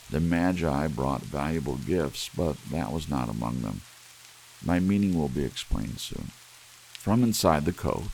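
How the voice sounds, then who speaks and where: Man, extremely low voice, creaky fry, soft ASMR storytelling, rain and hiss behind. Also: poor audio. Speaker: audiobook narrator. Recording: audible hiss under steady rain sounds.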